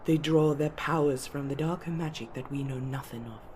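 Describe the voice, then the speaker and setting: A commanding eldest elf sister, played by a woman, speaks with a dark, mellow tone. She is composed, stern and formal, a warrior in a fantasy roleplay. There is faint noise behind her. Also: low-pitched, moderate pace, close to an everyday voice. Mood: cautioning. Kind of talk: fantasy audio roleplay acting.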